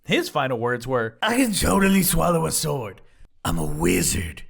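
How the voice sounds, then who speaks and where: A performer voicing a flippant, deadpan slime god who drifts through space, narrating an audio drama in the first person in a conversational, boastful way. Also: medium pitch, moderate pace, gravelly, husky, exaggerated voice. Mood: wry, musing. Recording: music bed under the voice.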